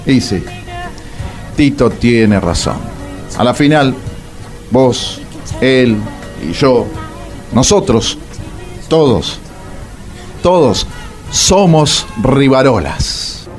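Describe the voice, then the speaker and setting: A man with a Rioplatense Argentine accent narrating a radio drama in a wry, theatrical way, with dramatic pauses. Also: mid-range voice, expressive, soft background music.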